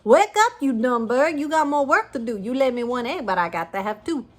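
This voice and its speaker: A woman voicing a booming, mean male giant in a rhyming storybook read-aloud. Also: animated, quick pace, fairly bright tone. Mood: bossy. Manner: rhyming storybook voice, growling demands.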